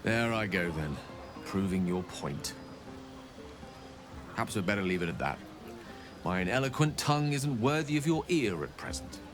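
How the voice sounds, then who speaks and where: Low-voiced, eloquent wizard played by a man. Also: animated, normal speed, pauses often, natural voice. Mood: wry, self-deprecating. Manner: eloquent, wordy, bookish. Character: erudite, wistful wizard man, wry and self-deprecating. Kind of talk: video game character dialogue. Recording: music behind the voice.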